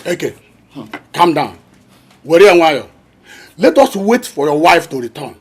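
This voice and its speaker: An actor playing a community elder who tries to calm the others. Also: male voice, fairly high pitch, unhurried, slightly rough, exaggerated voice.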